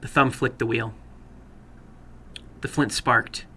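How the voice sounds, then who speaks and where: A man narrating a horror story dramatically, in a deliberate, suspenseful manner. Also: medium pitch, fairly even intonation, pushed and bright, a little hiss or hum. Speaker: horror fiction reader and host.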